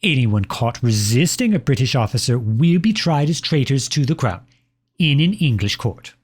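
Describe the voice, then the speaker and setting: A man's voice: fairly high pitch, darkish tone. A man playing a pompous, jilted personification of Britain in a comedic audio roleplay, reading formal letters with petulant indignation. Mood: stern, indignant.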